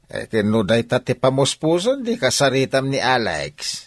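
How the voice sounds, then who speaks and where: A man's voice: mid-range voice, huge pitch swings, normal speed, no echo. A man with an Ilocano accent playing a conniving, hesitant older father in a radio comedy-drama. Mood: doubtful.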